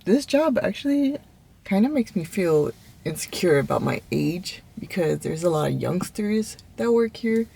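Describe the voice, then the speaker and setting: Candid young woman vlogger, slightly low voice. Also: very animated, unhurried, vocal fry, dark tone, slightly rough. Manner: candid, reflective. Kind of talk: casual personal vlog talk to camera.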